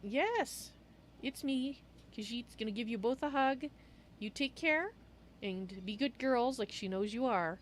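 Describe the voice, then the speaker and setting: A woman voicing a warm-hearted Khajiit cat-woman adventurer in a video game roleplay, speaking of herself in the third person. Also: fairly high pitch, a little slow, a little hiss or hum, close to an everyday voice. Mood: affectionate. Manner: refers to self in third person. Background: game rain ambience.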